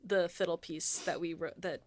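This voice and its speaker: A woman's voice: on the low side, steady tone, moderate pace, a little mellow, no room sound. A woman co-hosting a podcast, chatting with enthusiasm and frequent restarts. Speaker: podcast co-host and producer. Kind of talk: casual chat.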